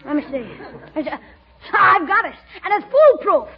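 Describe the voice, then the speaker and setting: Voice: very high voice, very animated, normal speed, gravelly, soft background music, full character voice. A performer playing a brash, wisecracking young nephew who schemes, in a 1940s radio comedy. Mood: scheming. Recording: muffled old radio broadcast, audience.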